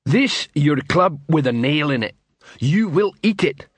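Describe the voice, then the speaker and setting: A man plays a dim troll constable from a fantasy storybook, puffed up with pride. He barks clumsy drill orders with a husky edge and big swings in pitch. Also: animated, measured pace, no room sound. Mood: pompous, commanding. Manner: barking, ungrammatical drill orders. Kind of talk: audiobook character voice.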